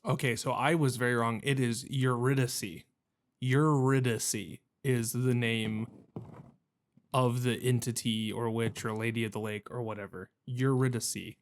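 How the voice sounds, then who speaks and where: A man's voice: mid-range voice, steady tone, measured pace. A man giving casual let's play commentary on a video game, thinking aloud in a hesitant, self-questioning, rambling way.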